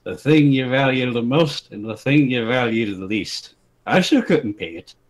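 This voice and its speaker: A man's voice: mid-range voice, animated, normal speed. A man giving a dramatic comic reading in a casual group voice chat, prone to breaking into giggles. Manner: giggly, often breaks into laughter. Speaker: reader of a comic story. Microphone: home mic in an online voice chat.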